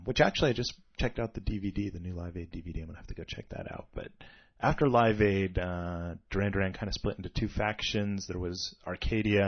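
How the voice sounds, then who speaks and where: A man hosting a music radio show in a studio, with a deep voice, chatting and rambling through music trivia. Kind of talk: casual music radio chat. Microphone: studio microphone. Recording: dull lo-fi radio recording.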